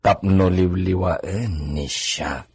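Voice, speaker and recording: A man's voice: low-pitched, slow pace, husky, exaggerated voice. A man with an Ilocano accent playing a proud, doting wealthy landowner and father in a radio drama.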